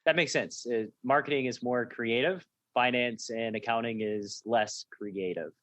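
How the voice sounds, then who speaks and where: A man hosting a podcast in a close, dry-sounding space. He asks question after question, keeps pressing why, and talks in a relaxed, conversational way. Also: mid-range voice, a little slow, no room sound. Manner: probing, keeps asking why. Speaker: podcast host. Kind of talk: conversational interview.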